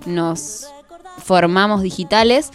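A woman's voice: lowish voice, slow pace. An Argentine woman in her twenties hosting a radio show, talking conversationally with poetic flourishes. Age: 20s. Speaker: radio host. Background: music.

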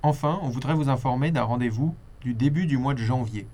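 A man's voice: mid-range voice, fairly quick, dark tone. A man presenting a radio programme, reading scripted announcements to listeners. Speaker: radio host.

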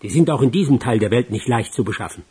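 An actor in a radio play voicing a cold, businesslike criminal doctor with a husky, commanding, deliberate delivery. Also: male voice, mid-range voice, lively intonation, darkish tone. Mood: cold, placating.

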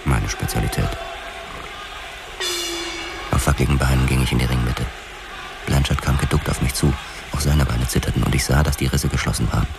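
A hard-boiled boxer-cop tells his story in a very low, bottomed-out voice. His delivery is terse and grim, in the style of a noir radio drama. Music plays clearly underneath. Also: fairly even intonation, brisk, long pauses. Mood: tense, grim. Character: hard-boiled boxer turned Los Angeles policeman narrating his past. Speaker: narrator and lead actor. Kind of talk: scripted first-person noir radio drama narration. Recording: music behind the narration.

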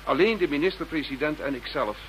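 A man's voice: on the high side, lively intonation, quick pace, lo-fi sound, a little hiss or hum, natural voice. A man playing a formal, earnest and careful Dutch cabinet minister in a radio play.

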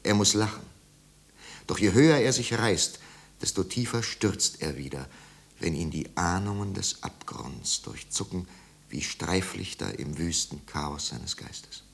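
A man with a low, gravelly voice narrates a 1950s German radio play. His literary delivery is measured and grave, with dramatic weight. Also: expressive.

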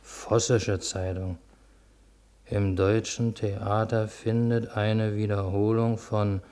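An actor playing a conservative grumbler in a German radio play, reading deliberately and muttering to himself. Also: male voice, lowish voice, a little mellow. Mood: deliberate reading aloud. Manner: slow, deliberate reading with muttered grumpy asides.

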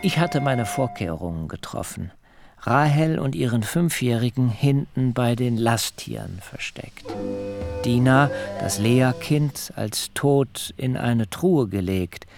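An actor playing a dignified middle-aged biblical patriarch who tells his story solemnly and deliberately in a literary radio drama. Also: mid-range voice, creaky, darkish tone, soft background music. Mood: measured, wary. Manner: measured, solemn, deliberate delivery. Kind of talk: literary radio drama, first-person narration.

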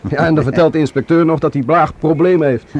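An actor playing a blunt, grumbling police sergeant in a Dutch radio drama, talking in a colloquial way. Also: male voice, on the high side, fast, a little mellow, lo-fi sound, lightly acted. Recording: radio play, faint outdoor street noise.

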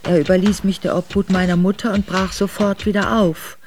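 An actress playing an aristocratic middle-aged Russian woman quietly recounting her memoirs to her son in a radio drama. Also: mid-range voice, steady tone, a little fast, slight background noise, lightly acted. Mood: troubled recollection. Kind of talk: intimate radio drama narration.

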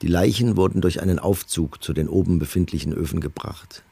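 A middle-aged commandant gives testimony in a radio play. His voice is low and dark, with a gravelly rasp. He speaks in a measured, bureaucratic way, detached and matter-of-fact. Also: steady tone, no room sound, heavily acted. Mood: coldly factual. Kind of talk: documentary radio play, reading written testimony.

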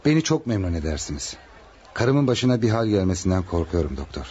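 Low-voiced anxious husband, swooping intonation. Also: male voice, very animated, a little fast, mellow, husky, full character voice. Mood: worried, secretive. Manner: anxious, earnest, polite. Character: worried husband under a false name, secretive patient. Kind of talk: radio drama acting. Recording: slightly noisy radio drama recording.

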